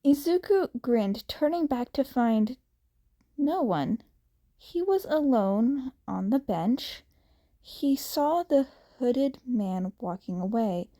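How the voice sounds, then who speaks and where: Female story reader: high, soft-toned and unhurried, with animated intonation for audiobook-style narration. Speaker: podfic reader. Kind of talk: fan fiction narration, audiobook-like.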